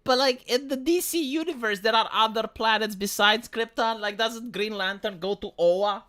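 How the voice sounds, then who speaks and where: A man's voice: high voice, moderate pace, bright, forceful tone, clear, resonant tone. A man from Romania chatting casually on a livestream, rambling and cursing with frequent stumbles and restarts. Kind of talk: casual streaming chat.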